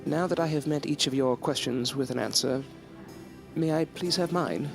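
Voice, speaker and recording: A man's voice: fairly high pitch, normal speed, creaky. A man playing a polite, earnest young student in a gothic audio drama, speaking in formal, old-fashioned phrasing. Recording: music under the voice.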